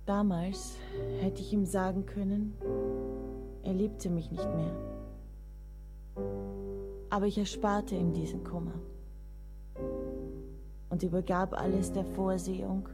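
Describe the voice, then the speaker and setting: An actress playing a proud, resigned middle-aged woman writer of the 19th century in a German radio play, measured and restrained. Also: mid-range voice, flat delivery, over loud music. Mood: melancholy recollection. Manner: measured, literary, restrained delivery.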